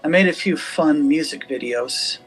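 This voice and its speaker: A man with a soft, gentle voice, chatting casually over voice chat with a streamer. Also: a little high, normal speed, faint music behind. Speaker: guest gamer.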